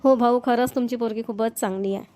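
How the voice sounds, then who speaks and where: Chatty older village mother-in-law, slightly high played by a woman. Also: steady tone, brisk, warm tone, natural voice. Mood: fond, praising. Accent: rural Maharashtrian Marathi. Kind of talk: Marathi cartoon family comedy voice-over.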